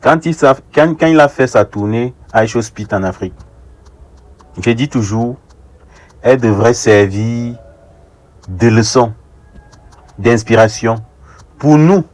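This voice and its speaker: A young man from Africa giving an earnest, deliberate opinion monologue, repeating phrases for rhetorical emphasis as an online commentator. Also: mid-range voice. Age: young adult.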